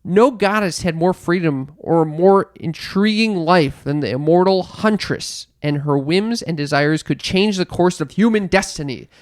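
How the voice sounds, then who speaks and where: A woman's voice: lowish voice, moderate pace, few pauses, darkish tone, no room sound, clean studio sound. A woman hosting a podcast, moving between casual chat and reading promotional copy. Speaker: podcast host.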